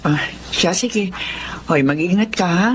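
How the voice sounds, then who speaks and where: An actress playing a caring, worried working-class mother in a Filipino radio drama, gentle and motherly. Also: lowish voice, a little slow, lightly acted. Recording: faint background noise.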